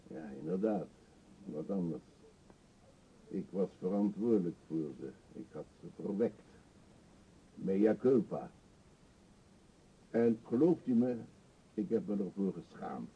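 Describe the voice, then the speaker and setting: An actor in a radio play voicing a middle-aged male vagrant, self-pitying and confessional, with a husky, halting, theatrical delivery. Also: deep voice, expressive, exaggerated voice. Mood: ashamed, defeated. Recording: dull lo-fi radio play recording.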